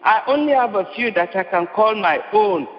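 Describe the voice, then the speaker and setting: A man's voice: sits high, animated, normal speed. A man delivering an impassioned prophetic sermon, repeating his lines with rising force. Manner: impassioned, repetitive declamation. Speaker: preacher. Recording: muffled, reverberant hall.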